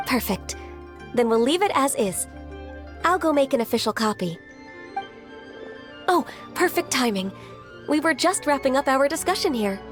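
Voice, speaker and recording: An actress voicing a diligent, soft-spoken young secretary in a video game, gentle, formal and a little shy. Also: female voice, high voice, very animated, normal speed, pauses often. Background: game music.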